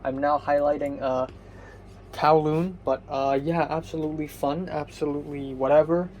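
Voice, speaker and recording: A young man giving a casual diary vlog from his apartment. His voice is muffled and raspy, and he talks hesitantly, rambling with many ums and restarts. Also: a little high, flat delivery, normal speed, darkish tone, slight background noise. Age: young adult. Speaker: vlogger.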